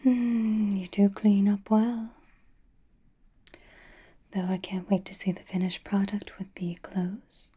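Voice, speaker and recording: A woman playing a centuries-old aristocratic vampire lady in a whispered ASMR roleplay, doting, possessive and softly teasing, with refined manners and a breathy voice. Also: mid-range voice, little pitch movement, slow, long pauses.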